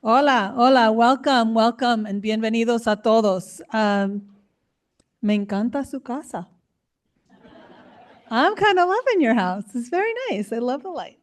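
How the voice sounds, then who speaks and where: A woman's voice: a little high, animated, a little slow. A woman from New York City, born in the Dominican Republic, giving an informal, effusive speech to an audience with Spanish words sprinkled in. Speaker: board chair, speaker. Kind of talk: informal keynote to a live audience.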